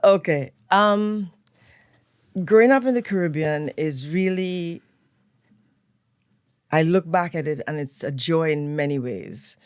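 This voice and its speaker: A woman's voice: low voice, animated, takes it slowly, long pauses, clear, resonant tone, no echo. Older Trinidadian woman, dry studio voice. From Trinidad and Tobago. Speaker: guest, event planner. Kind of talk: relaxed storytelling interview. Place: radio studio.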